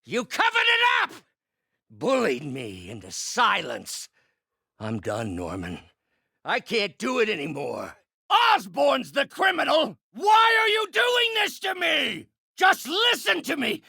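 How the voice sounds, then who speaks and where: An actor playing a brilliant, bitter middle-aged scientist turned villain in a video game, with precise, articulate, grandiose delivery. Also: male voice, mid-range voice, wildly swooping pitch, slow pace, vocal fry, bright, forceful tone, slightly rough, no room sound, clean studio sound, exaggerated voice. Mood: bitter, weary. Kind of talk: dramatic game character voice lines.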